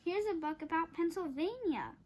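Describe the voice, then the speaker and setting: Female reader as earnest storybook boy, careful yet curious, high voice, slight noise behind. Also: expressive, moderate pace, darkish tone.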